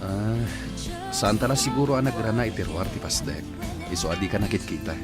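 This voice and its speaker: A man acts a placating husband who dodges questions. His intonation rises and falls freely, hesitant then soothing, in comedy radio drama style. Loud music runs under his voice. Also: lowish voice, animated, fairly quick, lightly acted. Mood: evasive. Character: evasive, placating husband out drinking with a friend.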